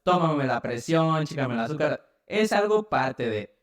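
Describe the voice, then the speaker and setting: A man's voice: on the high side, animated, measured pace. A man in his twenties from Mexico City with a Mexican accent, answering casually as an interview guest. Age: 20s. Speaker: interview guest, medical student and paramedic.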